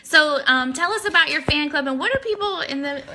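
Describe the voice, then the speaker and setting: Bright, effusive woman hosting, faint background noise. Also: high-pitched, animated, a little fast. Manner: effusive, encouraging. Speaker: radio host, interviewer. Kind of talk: casual live-stream interview chat.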